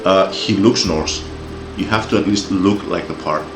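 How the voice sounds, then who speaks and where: Deep-voiced ranting Greek-Australian man. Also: normal speed, echoey room, background noise. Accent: Greek-Australian English. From Greece, raised in Australia. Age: 40s. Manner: heated, profane, repeats words when riled. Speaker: commentator. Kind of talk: opinion rant.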